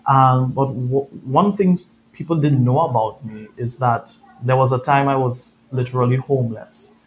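A man's voice: medium pitch, moderate pace, slight background noise, rough recording. A man from Trinidad with a Trinidadian accent, a podcast guest telling his story in an earnest, reflective way. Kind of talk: conversational interview storytelling.

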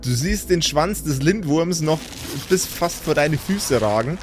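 A man's voice: a little high, lively intonation, unhurried, soft background music. A man working as game master, narrating an improvised tabletop role-playing game in descriptive detail, repeating words for emphasis.

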